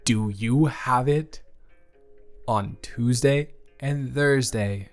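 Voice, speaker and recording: A man acting as a friendly young male college student in a roleplay audio drama. He rambles in an awkward, casual way with a medium-pitched voice, and music plays softly behind him. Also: very slow. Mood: excited, friendly. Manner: rambling, animated, hesitant casual speech.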